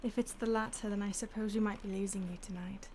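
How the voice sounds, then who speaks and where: A woman playing an ancient, detached vampire stalker in an intimate audio roleplay, with a breathy voice that often drops to a whisper and a languid, teasing manner. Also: medium pitch, steady tone, quick pace, darkish tone, slight background noise, natural voice. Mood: musing, detached. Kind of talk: intimate audio roleplay, ASMR-like acting addressed to the listener.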